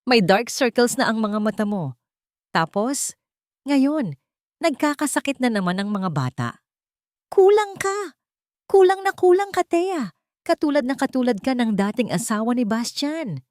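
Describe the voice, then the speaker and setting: Casting: a woman to play an angry old grandmother in an audio romance drama, pitched high, cutting and accusatory. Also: sing-song, a little slow, pauses often, dry recording, studio quality. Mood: furious, accusing. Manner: sharp, scolding. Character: harsh, angry, accusing elderly Filipino grandmother.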